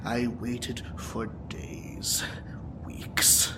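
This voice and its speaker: A man's voice: medium pitch, fairly even intonation, extremely slow. An actor playing a bitter, wounded young male supervillain in an audio drama, taunting and dramatic in manner. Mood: angry, hurt. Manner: taunting, dramatic, profane, breaking into whispers and laughter. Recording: clear background noise and ambience.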